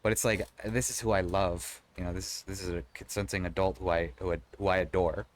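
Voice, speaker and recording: A man's voice: deep voice, fairly even intonation, a little fast. A man live-streaming, chatting casually with his viewers and answering their messages in a loose, rambling way. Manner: conversational and rambling, frequent restarts, swears casually.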